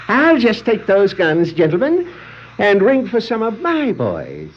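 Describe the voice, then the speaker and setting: High, swooping clownish master criminal. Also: male voice, huge pitch swings, slow, background noise, exaggerated voice. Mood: gleeful gloating. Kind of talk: 1960s children's record dramatization of a comic book. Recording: muffled 1960s vinyl record.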